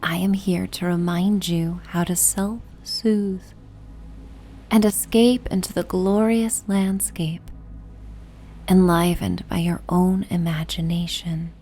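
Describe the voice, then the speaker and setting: A woman narrating a soothing sleep meditation and bedtime story in a gentle, soft, half-whispered, breathy voice. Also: fairly low pitch, slow. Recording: steady ocean and wind ambience noise. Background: ocean and wind ambience.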